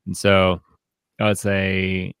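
Low-pitched, bookish man hosting a podcast. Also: monotone, a bit bright, clear, resonant tone, no echo, studio quality. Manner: halting, thoughtful, bookish phrasing. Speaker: podcast host. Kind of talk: casual literary discussion.

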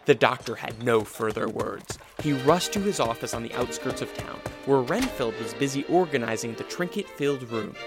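A man's voice: medium pitch, lively intonation, normal speed, few pauses, music in the background. A man reading scripted narration for a radio drama.

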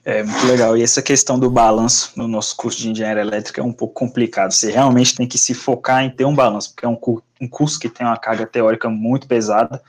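A young man, hosting a student podcast in a relaxed, friendly way. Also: mid-range voice, fast talker, few pauses. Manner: relaxed, friendly sign-off patter. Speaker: podcast host.

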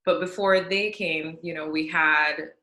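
Bright-voiced woman from Jamaica, echoey room. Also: low-pitched, measured pace. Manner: expressive, enthusiastic. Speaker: guest. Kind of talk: interview storytelling.

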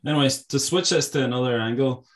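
A young man in a casual podcast conversation. His delivery is relaxed and fairly even, close to monotone, with a mid-range pitch and the odd laugh. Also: fast talker.

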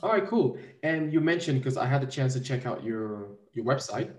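A man's voice: medium pitch, animated, normal speed, a little mellow. A man from Cameroon conducting a casual interview with hesitant, searching phrasing. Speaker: interviewer, host. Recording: echoey room, distant from mic.

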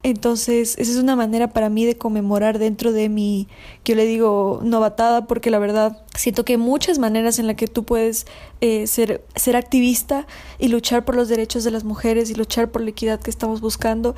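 A woman's voice: on the high side, level tone, a little fast, mellow. A woman speaking in a thoughtful, hesitant way in a casual discussion, often repeating words as she thinks.

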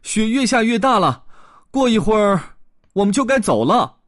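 Elmer the sunny patchwork elephant, as a man puts him on, lifted well above a natural speaking pitch and bouncing up and down. He coaxes and teases in a bright, playful storybook voice with a very dry, close sound. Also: big pitch swings, normal speed, no echo. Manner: bright, coaxing, teasing. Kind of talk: storybook character voice.

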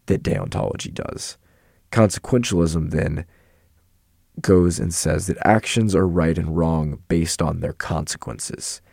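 A young man, hoarse from illness and yelling, casually explaining moral philosophy in a rambling, self-correcting way. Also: sits low, no room sound, studio quality.

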